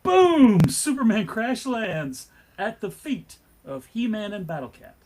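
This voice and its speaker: A man narrates a comic book aloud with dramatic flair. His voice is high for a man and husky, and it rises and falls in a very animated way. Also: slow. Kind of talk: dramatic scripted comic book narration at a live table read.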